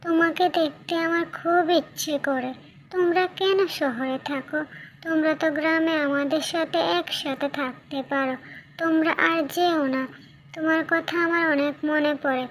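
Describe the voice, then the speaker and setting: Cartoon child role: woman playing a wistful, eager village girl, high little voice, drawn-out pacing. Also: fairly even intonation, slight background noise. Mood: pleading, eager.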